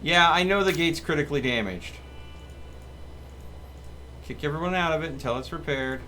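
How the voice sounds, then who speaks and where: A man's voice: a little high, normal speed, pushed and bright. A man giving casual live gameplay commentary as a streamer, muttering to himself and reading game text with some drama. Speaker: gaming streamer. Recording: music behind the voice. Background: game music.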